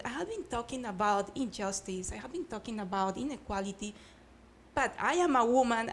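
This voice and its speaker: A middle-aged woman from Colombia with a Colombian Spanish accent, speaking earnestly on a panel with frequent hesitations. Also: fairly high pitch. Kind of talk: panel discussion. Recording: faint background noise.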